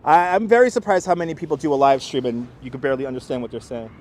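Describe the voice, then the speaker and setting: A man with a Brooklyn New York accent, chatting casually to live-stream viewers as a local guide, speaking clearly and deliberately. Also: medium pitch, animated, darkish tone. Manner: clear, deliberate enunciation, rambling. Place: outdoors on city streets by the seaside. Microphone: wireless clip-on mic. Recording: outdoor urban street noise.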